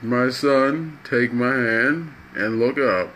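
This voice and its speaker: Fatherly divine figure for spoken parable, played by a man: gentle, forgiving, reassuring, mid-pitched, noisy background. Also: takes it slowly. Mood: calm invitation. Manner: reassuring, accepting.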